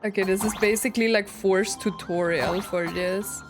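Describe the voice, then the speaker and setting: A woman's voice: mid-range voice, steady tone, normal speed. A woman doing casual gameplay commentary, reacting aloud in a giggly, self-deprecating way with exclamations. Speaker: game streamer. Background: game music.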